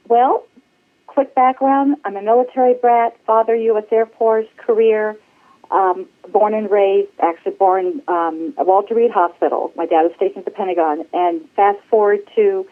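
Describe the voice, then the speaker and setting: A woman in her sixties chatting casually as an interview guest, effusive and rambling, over a telephone. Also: mid-range voice. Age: 60s. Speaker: podcast guest, flight attendant. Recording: tinny phone-line sound.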